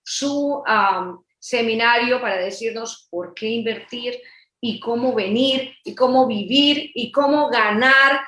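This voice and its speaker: High-voiced woman in an echoey room. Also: takes it slowly, sharp tone. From Colombia. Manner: promotional, listing facts and figures. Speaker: real estate presenter. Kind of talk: webinar presentation.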